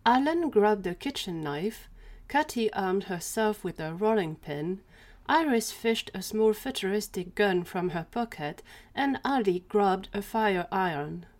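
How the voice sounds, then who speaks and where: A woman's voice: mid-range voice, lively intonation, a little slow, a little mellow. A woman narrating a scripted fiction story as the narrator of an audio drama.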